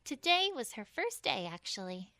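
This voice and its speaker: A woman's voice: a little high, very animated, normal speed, sharp tone, no room sound, heavily acted. A woman voicing a friendly, studious young adult female pony in an audio story, earnest and articulate. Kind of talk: acted audio story dialogue.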